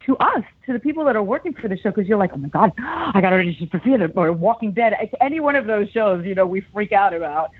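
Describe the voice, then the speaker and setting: A woman's voice: mid-range voice, fast, few pauses, husky, dry recording. A middle-aged woman, an actress, chatting in an interview, telling stories animatedly and acting out quotes with frequent laughter. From United States. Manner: animated storyteller, acts out quotes, laughs often. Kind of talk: casual interview chat. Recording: tinny phone-line sound.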